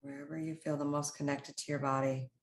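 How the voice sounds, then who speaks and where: A woman leading a guided meditation, speaking in short, soothing, instructional phrases with unhurried pauses. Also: low-pitched, flat delivery.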